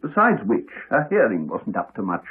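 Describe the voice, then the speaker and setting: An actor with an English accent playing a crotchety, evasive village doctor with dry, formal courtesy, in a muffled 1980s radio drama. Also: male voice, lowish voice, wildly swooping pitch, slightly rough, exaggerated voice. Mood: dryly evasive. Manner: dry, formal, measured politeness. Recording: muffled, band-limited 1980s radio recording.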